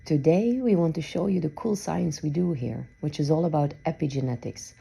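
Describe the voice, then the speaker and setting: A woman's voice: low-pitched, moderate pace, creaky, mellow, slightly rough, rough recording. A woman narrating a scripted science explainer.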